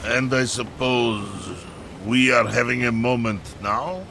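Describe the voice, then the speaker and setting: Big bashful warrior, gravelly creak, unhurried, polite, hesitant, noisy ambience, game acting. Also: male voice, medium pitch, full character voice. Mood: flustered, queasy. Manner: hesitant, flustered, refers to himself in third person. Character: big, polite, bashful, good-natured warrior who gets seasick. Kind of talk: comedic dramatic video game acting. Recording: loud ambient noise behind.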